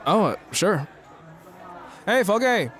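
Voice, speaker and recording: Smooth, boastful rich mogul, played by a man. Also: sits high, sing-song, slow, a bit bright, a faint crowd, heavily acted. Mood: bemused, courteous. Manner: smooth-talking, self-important. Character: boastful rich showbiz mogul courting a young woman. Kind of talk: audio drama acting.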